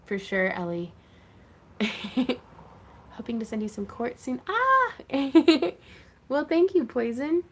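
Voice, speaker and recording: A woman's voice: high-pitched, expressive, slow, pauses often, husky. Chatty woman hosting a craft livestream. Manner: chatty, laughs often, greets viewers. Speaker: craft channel host. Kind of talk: casual livestream chat.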